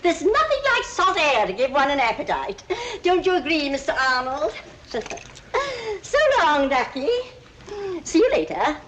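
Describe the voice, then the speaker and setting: Overbearing lady, very animated high voice, brisk, faint hiss of a vintage film soundtrack. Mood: jolly, teasing. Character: hearty, bossy, outdoorsy English lady passenger. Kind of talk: 1940s film comedy acting.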